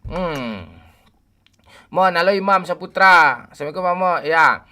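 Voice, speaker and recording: Bright-voiced man in his forties streaming. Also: high voice, expressive, unhurried. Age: 40s. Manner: joking, teasing banter with chat. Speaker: game livestreamer. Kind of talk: casual livestream chat, greeting viewers. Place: home room at night.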